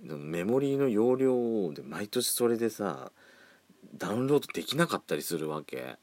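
A man's voice: on the low side, sing-song, normal speed, darkish tone, dry recording. A man around forty hosting a casual solo podcast, chatting with campy, feminine phrasing. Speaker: podcast host. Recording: faint background music.

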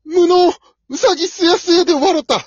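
A woman's voice: extremely high pitch, normal speed, darkish tone, no room sound. A woman voicing a cocky young male hare in a comic radio drama, showy and exclamatory. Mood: panicked, frustrated. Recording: dull, lo-fi recording.

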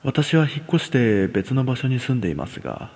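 A man narrates a scripted ghost story with dramatic delivery. His voice is husky and gravelly, moving widely in pitch. Long reverb hangs over the recording. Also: sing-song, brisk, a little mellow.

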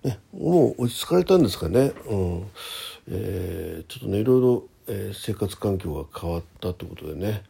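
A man's voice: sits low, animated, moderate pace, vocal fry, warm tone, breathy edge. A man hosting his own casual talk show, chatting solo in a hesitant, conversational way with little asides agreeing with himself. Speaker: host of a personal audio talk show. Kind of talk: casual solo radio chat, reading listener messages.

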